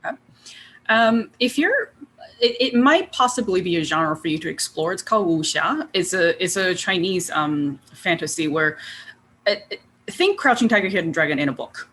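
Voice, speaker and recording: A woman's voice: on the low side, expressive, moderate pace, a bit bright, breathy edge. A woman originally from Taiwan, an author guest on a podcast, speaking thoughtfully and hedging with frequent ums. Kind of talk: casual conversational interview.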